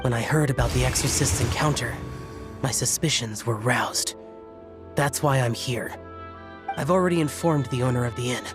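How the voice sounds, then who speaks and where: A man's voice: medium pitch, normal speed, over music, lightly acted. A man voicing an aloof, stern, youthful immortal demon-slayer in a fantasy video game, terse and formal.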